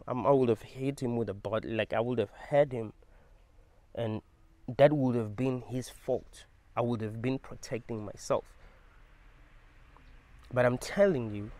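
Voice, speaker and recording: A man's voice: medium pitch, no echo. Emphatic man telling a story. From South Africa. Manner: animated, emphatic, swears freely. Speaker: vlogger. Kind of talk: casual storytelling to camera.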